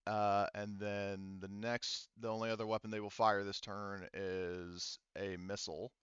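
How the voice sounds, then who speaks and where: A man's voice: lowish voice, level tone, unhurried, a bit bright, clear, resonant tone, no room sound, dull audio. A man acting as game master, casually narrating a tabletop game and muttering to himself as he checks rules and counts.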